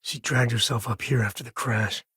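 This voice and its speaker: An actor playing a wry, protective space-cowboy father in a video game, with a husky voice and a casual, folksy, sardonic way of talking. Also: mid-range voice, steady tone, normal speed, sharp tone, no room sound, clean studio sound. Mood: wry, easygoing. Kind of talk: scripted game companion dialogue.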